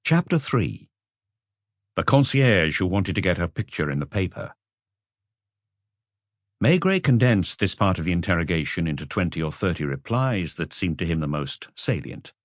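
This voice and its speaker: A man reading a literary story as an audiobook narrator, with expressive scripted delivery. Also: low-pitched, dry recording, studio quality.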